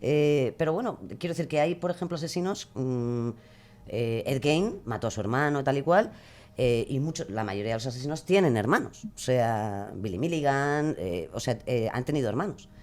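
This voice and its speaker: Mujer española de voz muy grave. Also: female voice, extremely low pitch, animated, moderate pace, a little mellow. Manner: rambling, hesitant, thinking aloud. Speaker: podcast guest, true crime podcaster. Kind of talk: casual, animated discussion.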